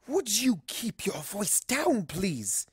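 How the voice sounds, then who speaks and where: A woman's voice: medium pitch, sing-song, slow pace, a little mellow, slightly rough, no room sound, clean studio sound, exaggerated voice. A woman voicing a refined, easily embarrassed ladylike cartoon pony with genteel, delicate manners. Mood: embarrassed, hushed. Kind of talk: acted fan fiction dialogue.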